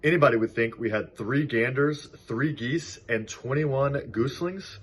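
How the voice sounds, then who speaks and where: A man voicing a bossy, clever female spider in a storybook, brisk and articulate. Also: medium pitch, a bit bright, slight background noise, pitched above the performer's own voice, natural voice. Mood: exasperated. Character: bossy, dry, clever female spider leading barn animals.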